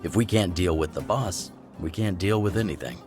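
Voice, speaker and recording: Very deep male voice, older rebel leader, pragmatic, commanding, measured, game dialogue, soft music behind. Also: animated. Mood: measured, resolute. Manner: measured, authoritative, plain-spoken. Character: gruff older male chief of underground resistance, measured, pragmatic. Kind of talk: video game dialogue acting.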